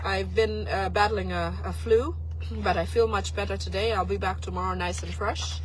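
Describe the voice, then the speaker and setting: A woman, hoarse and raspy from a flu, giving critical political commentary on a live stream and answering viewers' comments. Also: medium pitch, fairly even intonation, moderate pace, a little hiss or hum, rough recording. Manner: talks to viewers and reads their comments aloud; pointed and critical, with frequent fillers and restarts.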